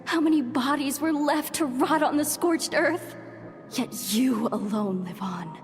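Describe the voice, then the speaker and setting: A woman's voice: sits high, unhurried, faint music behind. An actress voicing a bitter ghostly woman accusing a lone survivor. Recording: reverberant game audio.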